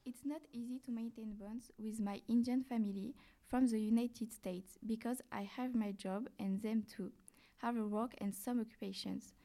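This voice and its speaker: Woman, podcast guest, mellow, somewhat high voice, careful speech. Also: steady tone, moderate pace. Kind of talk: scripted podcast answers.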